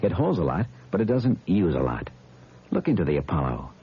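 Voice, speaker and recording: Deep, husky American commercial announcer. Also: male voice, sing-song, a little fast. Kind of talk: measured scripted 1970s radio ad read. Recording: muffled old radio broadcast.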